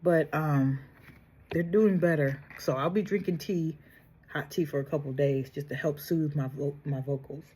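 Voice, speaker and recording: A woman vlogger chatting casually through a makeup tutorial, soft-spoken and trying to project with a sore, strained throat. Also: deep voice, fairly even intonation, moderate pace, slight background noise. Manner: chatty, addresses viewers directly, trails off and restarts. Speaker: beauty vlogger.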